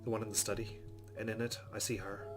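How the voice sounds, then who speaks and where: A man's voice: on the low side, little pitch movement, fast talker. A man hosting a let's play, reading game narration aloud dramatically and adding muttered, sweary asides. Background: game music.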